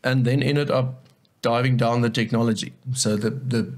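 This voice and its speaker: A man's voice: mid-range voice, steady tone, moderate pace. A South African man whose first language is Afrikaans, speaking earnestly as a guest in a casual interview and often repeating words as he thinks. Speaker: guest, agronomist.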